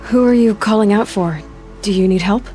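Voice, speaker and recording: An actress playing a resolute young outcast huntress in a video game, guarded but compassionate, speaking directly and with measure. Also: female voice, medium pitch, moderate pace, dark tone, lightly acted. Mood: guarded, wry. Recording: music under the voice.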